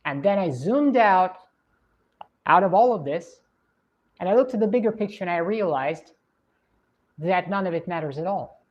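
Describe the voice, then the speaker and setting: A woman's voice: fairly low pitch, expressive. Russian woman musing on a livestream. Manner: reflective, unhurried, many fillers. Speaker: livestream host. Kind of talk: casual livestream chat reading viewer comments. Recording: dull lo-fi stream audio.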